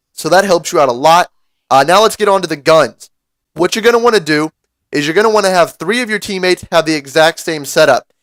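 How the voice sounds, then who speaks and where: Young man, raspy with a cold, fairly high voice, dry close sound, casual game commentary. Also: no room sound. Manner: casual, explanatory, addresses viewers as guys. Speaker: gaming YouTuber. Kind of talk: gameplay strategy commentary.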